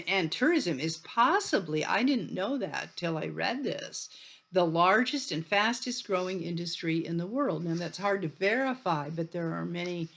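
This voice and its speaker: A woman's voice: fairly low pitch, vocal fry. A middle-aged woman from Ireland with an Irish accent, giving a conversational university lecture full of restarts and asides. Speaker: college lecturer.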